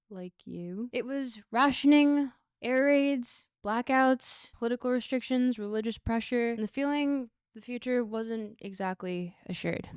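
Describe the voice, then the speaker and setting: A woman with a high voice narrates a scripted video essay. Her delivery is conversational and irreverent, full of sardonic asides. The sound is dry, with hardly any room in it. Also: clear, resonant tone, dry recording.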